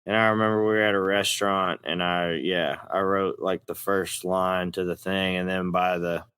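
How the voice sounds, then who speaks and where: A man's voice: sits low, fairly even intonation, moderate pace, fairly bright tone, clean tone, no echo. A man with a Texas accent chatting casually in an interview as a country rock singer, folksy, plain-spoken and a little profane.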